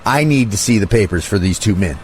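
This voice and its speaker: An actor playing a polite but unyielding soldier at a checkpoint in an audio drama. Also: male voice, on the low side, expressive, a little fast, soft background music, natural voice. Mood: curt, official.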